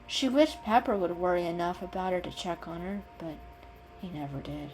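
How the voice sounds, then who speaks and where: A woman's voice: on the low side, expressive, moderate pace, a little mellow, low-quality recording. A woman giving a dramatic reading of an animal story as its narrator. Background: background music.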